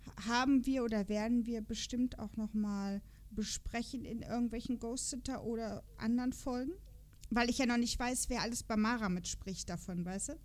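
Female podcast host, high voice, chatty and jokey, casual delivery with some read-out notes. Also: steady tone, normal speed, darkish tone.

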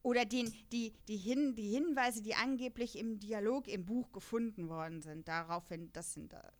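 A woman's voice: medium pitch, moderate pace. A young adult woman hosting a casual podcast, chatty and explaining at length with many fillers. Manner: chatty, many fillers, laughs between points. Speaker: podcast host. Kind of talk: casual podcast chat about an audio drama.

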